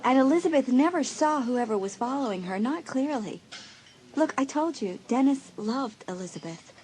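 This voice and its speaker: A woman's voice: high-pitched, animated, moderate pace, a little mellow, a little hiss or hum, low-quality recording. An actress playing a shy, eager, nervous teenage girl in an audio drama, sounding flustered and insistent.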